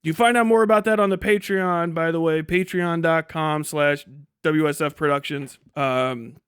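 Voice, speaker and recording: A man's voice: on the high side, fairly even intonation, normal speed, fairly bright tone, clean tone, no room sound, clean studio sound. A tired man casually chatting and ranting on a livestream as its host, rambling and bluntly swearing. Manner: rambling, blunt, heavily profane. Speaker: livestream host and video creator.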